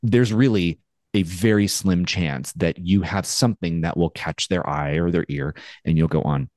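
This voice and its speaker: A man in his forties co-hosting a podcast over a video call, telling a story in a casual, animated way and acting out his own thoughts. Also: sits low, moderate pace, no room sound, studio quality. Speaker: podcast co-host. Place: home studio with brick wall. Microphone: headphones and studio mic on a video call.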